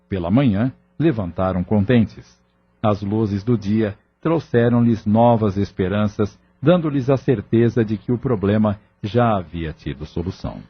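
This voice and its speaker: A man's voice: fairly low pitch, husky. A man narrating a scripted audio novel as its storyteller. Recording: muffled lo-fi audio with music.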